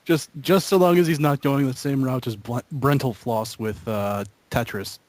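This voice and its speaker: A young man joking casually with his gaming group in a halting way, repeating himself as he thinks. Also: mid-range voice, a little mellow, slight background noise. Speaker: member of an online gaming video group.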